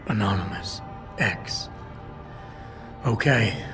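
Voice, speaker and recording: A hardened, blunt male security agent from a video game, in a low, terse, gravelly register. He sounds distant in a long reverb, with loud music beneath him. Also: slow pace. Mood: steady. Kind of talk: video game cutscene dialogue.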